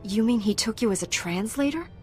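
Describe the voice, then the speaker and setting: A woman's voice: on the high side, expressive, a little fast, lightly acted. A woman voicing a confident young female knight in a video game, direct and matter-of-fact. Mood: resolute. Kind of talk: video game character acting. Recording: game music behind voice.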